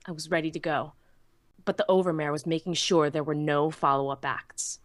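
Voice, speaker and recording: A woman's voice: on the low side, no room sound. A woman narrating a scripted first-person story dramatically, as in a fan audiobook.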